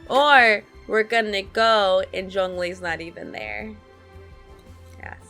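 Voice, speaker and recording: A woman streaming a game with casual reaction commentary, slangy, excitable and quick to swear. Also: mid-range voice, creaky, soft background music.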